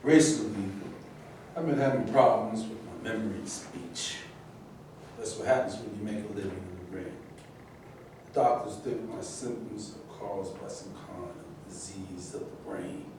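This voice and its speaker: A man's voice: on the low side, moderate pace, darkish tone, lightly acted. A man acting a proud, defiant retired boxer in an emphatic stage monologue at a staged reading. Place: live staged reading in a roomy space. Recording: very echoey room, distant mic, faint noise.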